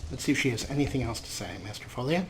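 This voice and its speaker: A man's voice: mid-range voice, animated, racing, noisy background. A man giving casual, self-deprecating gameplay commentary as a let's play host.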